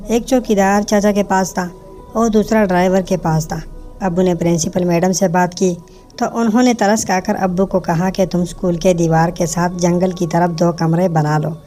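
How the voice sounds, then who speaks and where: A woman's voice: fairly low pitch, warm tone. A woman reading a scripted first-person narration as a storyteller.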